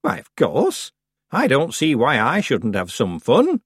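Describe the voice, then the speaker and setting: A man voicing a very old, calm and stern witch woman with a dry, matter-of-fact manner. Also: medium pitch, measured pace, husky, no room sound, pitched above the performer's own voice, heavily acted.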